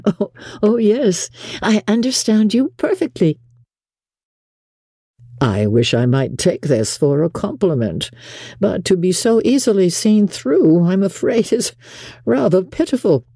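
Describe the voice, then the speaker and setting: An audiobook narrator voicing an eager, impulsive, courteous young gentleman from a period novel in an emphatic, earnest way. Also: medium pitch, sing-song, measured pace, long pauses, husky, no echo, studio quality, voice pushed up, full character voice. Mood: amused.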